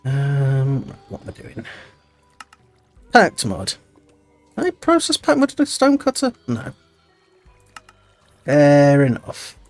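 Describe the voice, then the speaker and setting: Streamer, male, tired and snuffly, lively up-and-down delivery, rambling self-talk over faint background music. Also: mid-range voice, takes it slowly, pauses often. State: tired and stuffy-nosed. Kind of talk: casual gameplay commentary.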